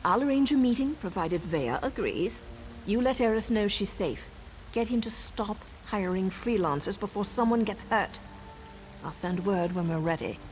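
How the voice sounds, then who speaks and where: A woman's voice: medium pitch, animated, normal speed, a little mellow, soft background music, natural voice, poor audio. An actress voicing a wry, teasing and self-assured female assassin in a fantasy video game. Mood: earnest, protective. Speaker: assassin and mentor. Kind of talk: video game character dialogue.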